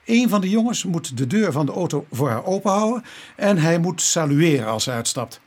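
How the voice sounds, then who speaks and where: An actor playing a courteous, methodical police inspector in a Dutch radio play, with a probing, matter-of-fact manner. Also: male voice, fairly high pitch, big pitch swings, brisk, a little mellow, husky. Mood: brisk instructing.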